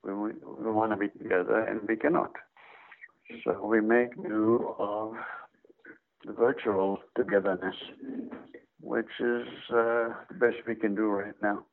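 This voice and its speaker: An older Israeli-accented man speaks in a slow, soothing, reflective way, with touches of dry humor. His voice has a gravelly edge. It comes through a thin, phone-like line from his workroom at home. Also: fairly low pitch, fairly even intonation. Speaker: psychology professor, guest. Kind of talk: reflective interview answers. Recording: tinny phone-line audio.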